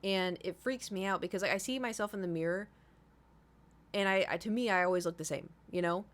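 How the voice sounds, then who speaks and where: A woman in her thirties talks as a livestream host in an informal, off-the-cuff way. She rambles through her thoughts in casual chat, doubles back on phrases for emphasis and swears freely. Her pitch sits in an ordinary middle range for a woman. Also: fairly quick. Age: 30s.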